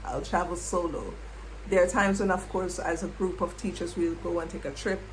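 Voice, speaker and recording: A middle-aged woman, a former English teacher, reflecting on her past in an unhurried way and repeating words as she thinks. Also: fairly low pitch, slight background noise, low-quality recording. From Jamaica. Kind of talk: reflective personal storytelling.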